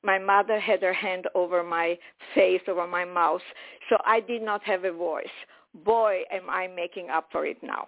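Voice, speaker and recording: A woman in her eighties, born in Romania with German as her first language, telling her life story as a radio guest, lively, candid and quick to joke. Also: on the low side, vocal fry. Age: 80s. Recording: phone-line radio sound.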